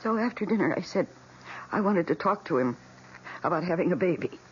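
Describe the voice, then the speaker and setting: A woman's voice: lowish voice, animated, brisk. A woman with an American accent playing a fragile, obsessive young housewife in a radio drama, with intense, dramatic delivery. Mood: haunted, confessing. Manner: intense, dramatic, breathy emphasis. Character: fragile, obsessive housewife confessing her killer plants' deed. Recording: dull, noisy old radio recording.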